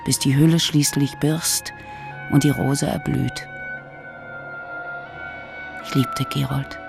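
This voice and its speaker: A measured, literary narrator looking back on a medieval youth for a radio drama. The voice is medium in pitch with a marked creak. Faint music plays underneath. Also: male voice, darkish tone. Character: reflective narrator recalling a medieval girlhood. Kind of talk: scripted first-person narration in German radio drama.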